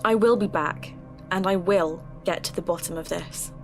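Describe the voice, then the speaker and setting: Female podcast narrator played by an actress, earnest and determined, measured confiding delivery for mystery audio drama, music bed. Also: on the low side, close to an everyday voice. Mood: unsettled, resolute. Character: earnest, determined young woman podcaster investigating folklore mysteries. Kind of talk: scripted first-person audio drama narration.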